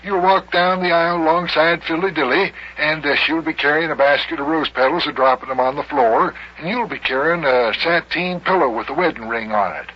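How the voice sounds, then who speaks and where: An actor playing a bashful old small-town mayor in an old-time radio comedy, rambling and stammering in rustic grammar. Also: male voice, fairly high pitch, fairly quick, hardly any pauses, breathy edge. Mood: gushing, flustered. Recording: dull, noisy vintage radio recording.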